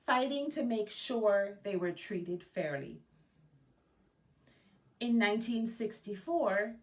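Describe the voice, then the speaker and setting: A woman reading a children's picture book aloud, phrasing deliberately and stressing key words. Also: medium pitch, far from the mic. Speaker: guest storybook reader.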